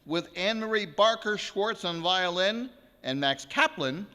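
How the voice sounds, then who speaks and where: A man in his sixties with a fairly high, bright voice addresses a live concert from the stage. He introduces the music like a seasoned radio presenter, mixing in personal anecdotes. The sound carries the long reverb of a large hall. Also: expressive, a little slow, smooth. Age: 60s.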